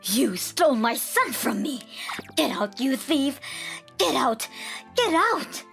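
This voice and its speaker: A woman playing a shrill, spiteful older mother-in-law. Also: lively intonation, moderate pace, fairly bright tone, soft background music. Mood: furious. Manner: scolding, contemptuous. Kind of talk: acted dialogue in narrated family drama story.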